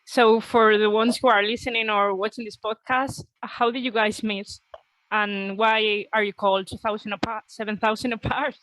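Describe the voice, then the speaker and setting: A woman's voice: medium pitch, little pitch movement, normal speed, fairly bright tone, low-quality recording. A woman hosting a podcast, asking questions in a friendly, enthusiastic way with frequent laughter. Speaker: podcast host. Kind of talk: casual interview.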